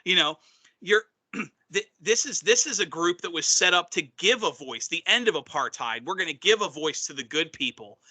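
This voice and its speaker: A man with a very bright, fairly high voice, talking over the history of wrestling gimmicks. He rambles, restarts his sentences and keeps clearing a slightly hoarse throat. It sounds like loose, casual conversation between co-hosts. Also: expressive, moderate pace. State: losing his voice. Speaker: wrestling podcast co-host. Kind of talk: casual chat.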